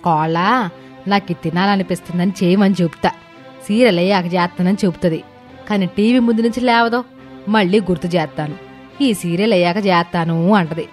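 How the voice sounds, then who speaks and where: A woman's voice: on the low side, a little fast, soft background music, close to an everyday voice. A woman voicing a stubborn, self-satisfied middle-aged mother-in-law in a cartoon moral story. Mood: complaining. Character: Sharada, stubborn, gloating middle-aged mother-in-law who loves eating.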